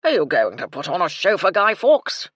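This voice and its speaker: A woman's voice: on the low side, big pitch swings, normal speed, a bit bright, slightly rough, no room sound, full character voice. A woman voicing a tipsy, blustering old English army colonel with a bluff, clipped manner and old-fashioned slang, read in an audiobook. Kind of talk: audiobook character voice.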